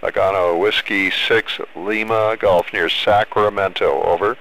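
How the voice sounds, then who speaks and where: An older American man speaking as a friendly amateur radio operator in a deliberate call-sign exchange. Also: on the low side, fairly even intonation. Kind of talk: ham radio contact, spelling out call signs. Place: small home radio shack, indoors. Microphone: handheld boom microphone up close. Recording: loud radio static, clicks.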